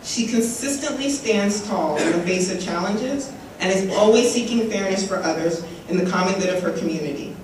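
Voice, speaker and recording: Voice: medium pitch, moderate pace, hardly any pauses. A conference host formally reads out a prepared introduction of the next speaker. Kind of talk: read introduction. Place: large conference hall. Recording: very echoey hall, distant mic, faint hiss.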